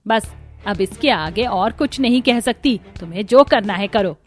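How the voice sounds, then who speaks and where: A woman's voice: medium pitch, animated, unhurried, faint music behind, lightly acted. A woman voicing a bitter, disapproving mother-in-law in a cartoon, dramatic and reproachful. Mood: outraged.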